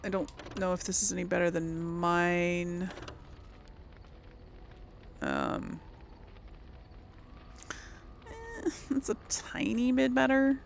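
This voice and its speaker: A woman's voice: fairly low pitch, huge pitch swings, slow pace, gravelly, pauses often, slight background noise. A woman hosting a casual let's play, rambling and thinking aloud as she comments on the game. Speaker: gaming video host.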